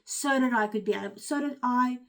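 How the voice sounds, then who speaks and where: An Afrikaans-accented woman with a fairly high voice, speaking earnestly and candidly as a life coach. She talks in a personal, unscripted way, with frequent fillers and restarts. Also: brisk, a bit bright. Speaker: life coach and channel host. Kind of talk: personal motivational talk to camera.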